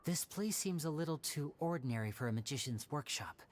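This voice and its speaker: A man's voice: a little high, expressive, a little fast, close to an everyday voice. A man voicing a playful young stage magician in a game, with a theatrical, charming delivery. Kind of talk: game dialogue.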